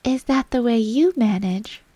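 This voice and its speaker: A woman's voice: a little high, animated, unhurried, mellow, dry recording, voice pushed up. A woman voicing a polite, earnest, curious young girl in a storybook.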